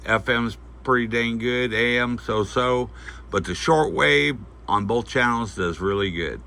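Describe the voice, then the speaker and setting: Man with a very bright, creaky voice. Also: mid-range voice. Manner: halting, folksy, thinking aloud while handling the item. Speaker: host of a gear review channel. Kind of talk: casual unscripted product demonstration. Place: indoors at home at night. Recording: faint background noise.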